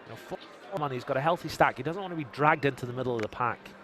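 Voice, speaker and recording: A man commentating a live poker stream from a casino booth, reading out chat and cracking quick one-liners in a soothing radio voice. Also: mid-range voice, a bit bright, some chatter behind.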